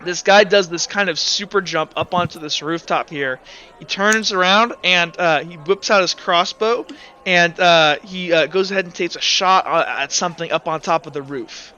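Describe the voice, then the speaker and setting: A man narrating a tabletop game as game master, halting often with fillers while he describes the scene. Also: high voice, sharp tone. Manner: rambling, halting with many fillers. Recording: dull lo-fi mic.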